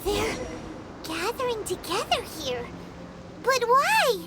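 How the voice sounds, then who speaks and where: A squeaky little companion creature. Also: female voice, very animated, slow, heavy background noise, exaggerated voice. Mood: puzzled. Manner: squeaky, exclaiming.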